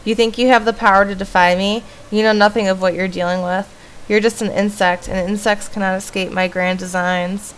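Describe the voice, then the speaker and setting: A woman's voice: medium pitch, flat delivery, fairly quick, slight background noise, natural voice, low-quality recording. Woman playing a haughty, menacing monster woman. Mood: haughty menace. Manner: haughty, threatening, theatrical.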